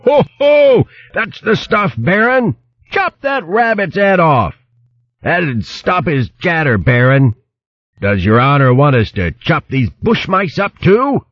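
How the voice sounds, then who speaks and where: A man voicing a rowdy, bloodthirsty hedgehog henchman in a storybook, shouting roughly and eagerly to please his boss. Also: fairly high pitch, big pitch swings, slow, dry recording, highs cut off, pitched above the performer's own voice, full character voice. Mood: gleeful baying.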